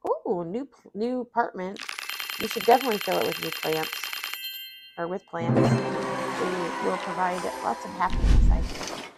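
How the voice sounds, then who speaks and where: A woman's voice: fairly low pitch, a little slow, vocal fry, over loud music. A middle-aged woman, sniffly and tired after vaccines, chatting casually and rambling about her day while playing solitaire. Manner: rambling, self-deprecating, talks to chat. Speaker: streamer.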